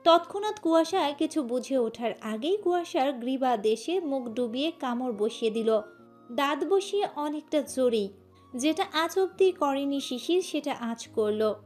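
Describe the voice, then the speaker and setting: A woman's voice: high-pitched, animated, measured pace, darkish tone, soft background music. A woman reading a scripted romantic story as its narrator.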